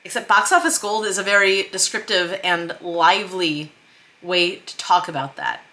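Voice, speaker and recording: A woman's voice: fairly low pitch, fairly even intonation, normal speed, bright, forceful tone. A woman explaining craft informally in a vlog, thinking aloud in an emphatic, self-deprecating way. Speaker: screenwriter and director teaching script revision. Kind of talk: informal explainer vlog about script revision.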